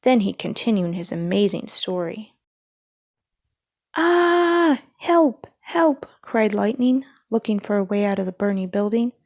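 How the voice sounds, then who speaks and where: A woman's voice: medium pitch, fairly even intonation, fairly quick, hardly any pauses, mellow, no echo, studio quality. A woman reading a children's storybook aloud as a scripted narration.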